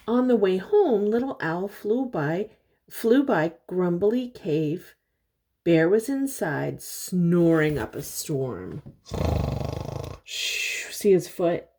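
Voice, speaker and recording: An older woman reading a picture book aloud to young children, pausing to explain things and ask simple questions. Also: mid-range voice, noisy background. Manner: expressive, simple explanations and questions for little listeners.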